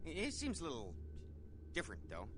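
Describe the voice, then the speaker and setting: An eager male guard from a video game, rambles on in a high, very animated voice. He is deferential and folksy, with clear background noise behind him. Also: brisk. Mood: eager, chatty. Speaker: lobby guard. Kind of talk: video game character acting.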